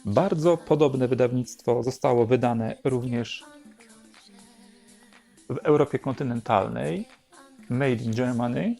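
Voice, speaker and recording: Male host, explanatory and unhurried, mid-pitched and relaxed, over light music. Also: vocal fry. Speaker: host presenting a record collection. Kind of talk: unhurried show-and-tell narration.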